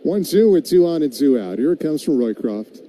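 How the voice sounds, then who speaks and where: A man calling baseball play-by-play on the radio and chatting in a wry, conversational way between pitches. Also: sing-song, moderate pace, dark tone, slight background noise. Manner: wry, tongue-in-cheek. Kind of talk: live play-by-play mixed with conversational commentary.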